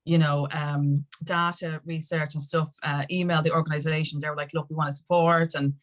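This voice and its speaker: Irish female interviewee, somewhat low-pitched, candid and emphatic, conversational storyteller who acts out what people said. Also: level tone, a little fast. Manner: emphatic, rambling storytelling with acted-out quotes. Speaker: guest, music industry campaigner.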